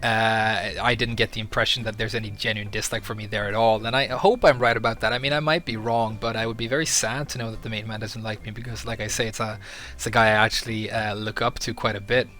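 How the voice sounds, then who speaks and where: A man's voice: fairly low pitch, fairly even intonation, fast talker, pushed and bright. A young man from Sweden talking candidly to camera as a video creator, earnest and a little hesitant with fillers. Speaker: YouTuber. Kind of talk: casual talk to camera.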